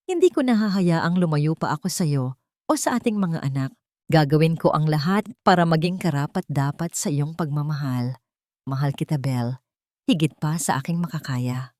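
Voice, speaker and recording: A woman voicing a devoted groom, solemn, steady and tender, in a radio drama romance story. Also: low-pitched, no echo, studio quality.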